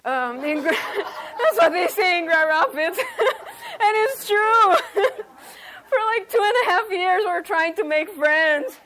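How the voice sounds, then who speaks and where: A woman's voice: extremely high pitch, animated, takes it slowly, a bit bright. A woman in her thirties from Romania, leading a church workshop in an outgoing, chatty way with many ums. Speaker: workshop leader. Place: workshop room, indoors.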